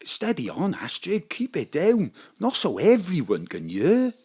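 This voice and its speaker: A man's voice: high-pitched, wildly swooping pitch, measured pace, dark tone, breathy edge, heavily acted. A man playing a gullible, tipsy pub drinker in a comic audio drama, slurring and befuddled. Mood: anxious, hushed.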